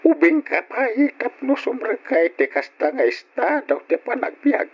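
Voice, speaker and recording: An actor playing an excitable, protective old grandfather with an Ilocano accent, plaintive and exclaiming in old radio drama style. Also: very high voice, a little slow, slightly rough, full character voice. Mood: protective, distressed. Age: older. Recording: dull lo-fi radio drama recording.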